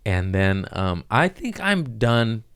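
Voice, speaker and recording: A man with a deep voice talks as a comedy podcast host. His delivery is casual and slangy, tossing out absurd riffs with a straight face. The sound is dry, with little room around him. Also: very animated, slow pace, fairly bright tone, clear, resonant tone, dry recording. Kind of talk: casual joking podcast chat.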